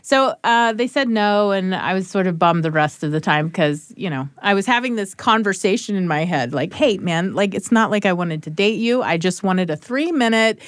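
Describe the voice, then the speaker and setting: A woman producer chatting around a radio studio desk, animated and laughing as she recounts a story. Her voice sits at a middle pitch, and the sound is dry with no room echo. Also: moderate pace, hardly any pauses. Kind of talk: casual chat.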